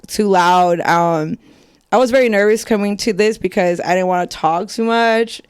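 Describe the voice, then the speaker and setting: A young woman guest on a podcast, chatting casually in a deep, strong voice, loud and laughing often as she tells stories. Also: normal speed, clean tone, no echo, clean studio sound. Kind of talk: casual chat.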